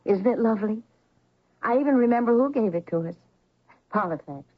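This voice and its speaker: A woman's voice: on the high side, animated, fairly quick. An actress playing a genteel, chatty older mother in an old-time radio drama, speaking in refined, reminiscing phrases. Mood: fond, nostalgic. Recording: dull lo-fi old radio recording.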